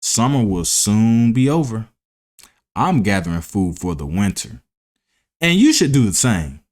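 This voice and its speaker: A man's voice: fairly low pitch, very animated, measured pace, a little mellow. A man voicing a hardworking, sensible ant in a children's storybook, earnest and admonishing. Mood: earnest warning. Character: hardworking, sensible, stern male ant who lectures. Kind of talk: children's storybook read-aloud.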